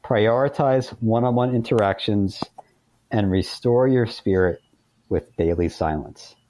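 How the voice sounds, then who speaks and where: A man's voice: fairly low pitch, measured pace, dark tone, low-quality recording. A man speaking as an author guest in a conversational interview, informal and self-deprecating.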